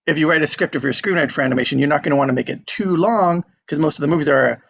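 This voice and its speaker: Higher-pitched man, gravelly and casual. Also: very fast, a bit bright, lo-fi sound. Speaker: host of online script table readings. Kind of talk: casual group chat.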